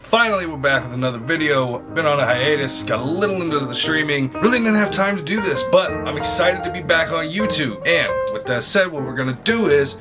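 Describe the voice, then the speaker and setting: A man hosting a casual reaction video, commenting in loose, slangy, sweary talk as if chatting with buddies. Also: a little high. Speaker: YouTube reaction host, streamer.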